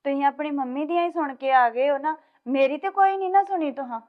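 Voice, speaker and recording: A woman playing a hurt young wife, speaking quietly and reproachfully. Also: high-pitched, fairly even intonation, normal speed, close to an everyday voice. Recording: tinny phone-like sound, distant.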